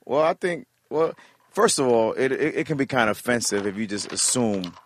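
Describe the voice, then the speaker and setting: A man's voice: mid-range voice, lively intonation, normal speed, dry recording, studio quality. A male comedian from the Bronx with a New York accent, chatting casually and comically as a podcast guest.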